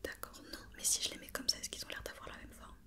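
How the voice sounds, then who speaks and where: A woman speaking in a soft, breathy whisper, playing a patient, methodical doctor in an ASMR check-up roleplay. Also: quick pace. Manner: patient, methodical, repeating instructions and questions. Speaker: ASMR performer playing a doctor examining a robot.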